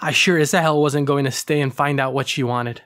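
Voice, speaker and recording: A man narrating a first-person horror story with measured, slow phrasing, who also hosts the channel. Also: mid-range voice, fairly even intonation, very noisy. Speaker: audio drama creator and narrator.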